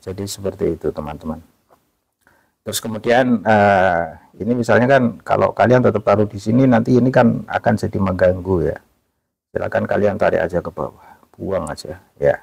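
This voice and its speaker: Low gravelly man explaining an app. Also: moderate pace, no room sound. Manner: relaxed, repeats "ya" and fillers often. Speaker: tutorial host. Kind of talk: casual screen-recorded app tutorial.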